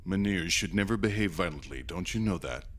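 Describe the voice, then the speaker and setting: A man playing a solemn grown alien in an English anime dub, speaking in a measured, instructive way. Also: low-pitched, expressive. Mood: calm, instructive. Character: solemn grown male alien, once genderless. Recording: loud music behind the dialogue.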